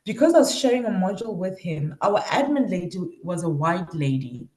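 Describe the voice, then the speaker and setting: A woman's voice: lowish voice, big pitch swings, fairly quick, darkish tone. A South African woman lecturer giving a reflective academic talk with personal anecdotes. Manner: reflective, acts out quoted remarks. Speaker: university lecturer presenting research. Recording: roomy, echoing sound.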